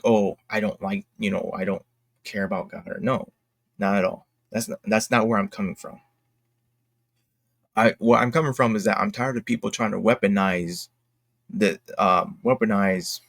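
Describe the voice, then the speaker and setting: A man with a fairly low voice hosts an online opinion video. He speaks off the cuff, often repeating and correcting phrases as he works through his point. The sound is very dry, with no room echo. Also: vocal fry. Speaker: host of an online commentary video. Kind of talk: unscripted opinion commentary from notes.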